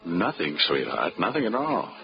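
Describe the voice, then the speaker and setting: A hard-boiled, wisecracking insurance investigator with a lowish voice and dry, wry narration. Loud music backs the muffled 1940s radio broadcast. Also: male voice, wildly swooping pitch, normal speed, creaky, full character voice. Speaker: lead actor and narrator. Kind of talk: 1940s radio acting with first-person narration.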